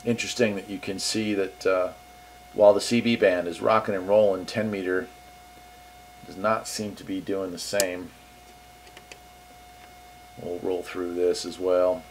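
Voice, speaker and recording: A man's voice: low voice, level tone, unhurried, long pauses, noisy background. A man hosting a casual gear demo in an easygoing, upbeat way. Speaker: host reviewing radio gear.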